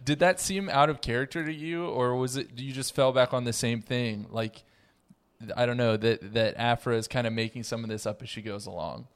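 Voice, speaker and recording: A man's voice: medium pitch, fairly even intonation, brisk, smooth. A man hosting a casual roundtable podcast, steering the discussion conversationally. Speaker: podcast host.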